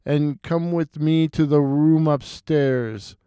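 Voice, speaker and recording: Man voicing a slow, commanding walking corpse. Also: on the high side, fairly even intonation, dark tone, smooth, no room sound. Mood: grim command. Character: commanding male walking corpse, blood-drinking and sinister.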